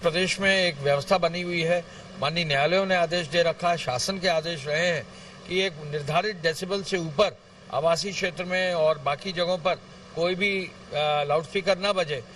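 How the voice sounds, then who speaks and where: A man speaks as an official giving a formal, halting statement. His voice is high, picked up from a little distance, with faint outdoor vehicle noise behind it. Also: fairly bright tone.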